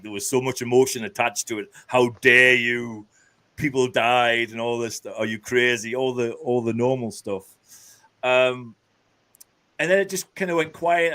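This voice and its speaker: A man's voice: mid-range voice, pushed and bright. A man with a Geordie accent from northeast England, talking earnestly as a guest with many fillers and restarts. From Scotland. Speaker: podcast host guest. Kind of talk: casual interview talk.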